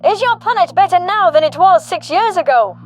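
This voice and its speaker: Woman as a very high-pitched accusatory ad announcer. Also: expressive, fairly quick, soft background music. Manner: accusatory, rhetorical. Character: female alien political attack-ad narrator, indignant and pointed. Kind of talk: scripted political attack ad.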